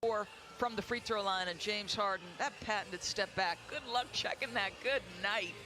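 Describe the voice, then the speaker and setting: A man giving excited commentary and analysis on a basketball broadcast. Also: high-pitched, normal speed. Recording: noisy game broadcast with court ambience. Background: court ambience and ball bounces.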